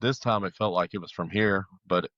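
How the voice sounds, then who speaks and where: Male interview guest, deep register, conversational and halting storyteller who quotes dialogue, very dry sound. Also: steady tone, a bit bright, no echo, highs cut off. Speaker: guest telling his life story. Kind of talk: casual personal testimony.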